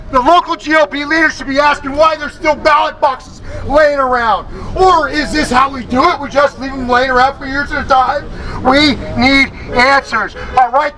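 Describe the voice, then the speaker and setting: Forceful, high-pitched man at a rally. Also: low-quality recording. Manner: emphatic, rhetorical questions, rousing. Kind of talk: impassioned political rally speech. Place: outdoors at a street rally. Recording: outdoor rally with crowd and traffic noise.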